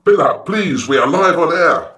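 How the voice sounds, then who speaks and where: An actor playing a pompous, grand-sounding disc jockey on air in a comedy radio play. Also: male voice, a little high, expressive, normal speed, pushed and bright. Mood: exasperated. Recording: very echoey, distant from the mic.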